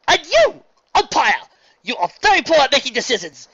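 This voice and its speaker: A woman's voice: sits high, big pitch swings, moderate pace, muffled recording, voice pushed up. A woman voicing a regal, shy princess pony who scolds in stiff, formal words. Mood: indignant. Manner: stiff formal wording, hesitant shouting.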